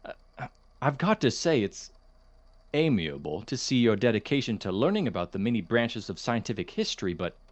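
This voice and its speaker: An actor playing a courteous, dismissive chemistry professor in an audio drama, speaking in a formal, measured, gently condescending manner. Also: male voice, medium pitch. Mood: dismissive, patronizing. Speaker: substitute lecturer. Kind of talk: audio drama, lecture and office talk.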